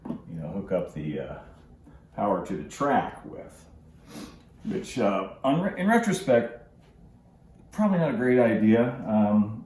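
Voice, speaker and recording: A middle-aged man giving a casual, relaxed hands-on tutorial, halting a little as he works in an indoor workshop. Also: lowish voice, echoey room. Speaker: host of model railroad channel.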